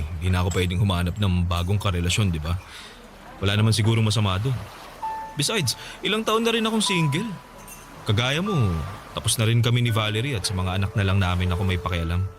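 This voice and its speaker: An actor plays an easygoing Filipino single dad of about thirty-five in a radio romance drama. His low voice is casual and chatty, with halting pauses. Clear background noise sits behind him. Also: expressive, close to an everyday voice. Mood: earnest, defensive. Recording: noticeable noise and ambience behind voice.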